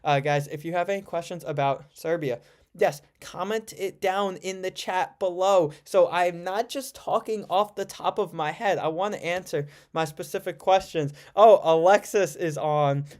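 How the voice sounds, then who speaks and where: A man's voice: high voice, normal speed, darkish tone. A man of about twenty with an American accent, chatting informally on a livestream and often correcting himself as he rambles. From United States. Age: around 20. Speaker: livestream host, student. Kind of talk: casual livestream chat answering viewer questions.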